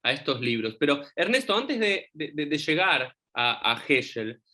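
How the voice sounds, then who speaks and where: A man's voice: on the high side, normal speed. A man hosting a podcast interview, introducing his guest and asking questions. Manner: warm introductions, animated questions. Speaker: podcast host, rabbi.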